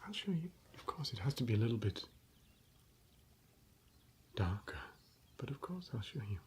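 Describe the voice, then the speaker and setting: A man softly whispering as a gentle scholar of ancient elvish lore, in an ASMR roleplay. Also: medium pitch, sing-song, normal speed, a little hiss or hum, close to an everyday voice. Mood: hushed. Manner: soft whispering. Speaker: ASMR performer.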